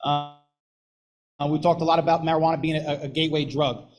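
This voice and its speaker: A man's voice: on the high side, little pitch movement, fairly quick. A man, a police sergeant from New Jersey, giving a plain-spoken presentation into a microphone at a public meeting, checking in with "okay?". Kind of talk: public presentation to a school board. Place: meeting room. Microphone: handheld microphone.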